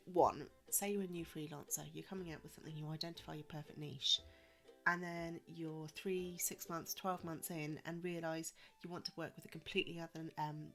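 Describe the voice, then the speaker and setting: A woman's voice: fairly low pitch, pushed and bright. A woman hosting a solo podcast, chatty with frequent ums, a little wheezy from a cold. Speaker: podcast host.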